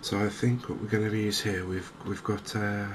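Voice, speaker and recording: A soft-spoken man with an English accent giving unhurried, hesitant ASMR commentary over a video game. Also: lowish voice, level tone, background noise. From England. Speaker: gaming video host.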